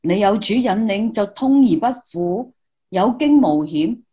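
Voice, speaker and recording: A woman's voice: mid-range voice, expressive, unhurried, mellow, highs cut off, lightly acted. A woman with a Hong Kong Cantonese accent playing an eager, devout young student reporter, earnest and exclamatory, in a Christmas radio play.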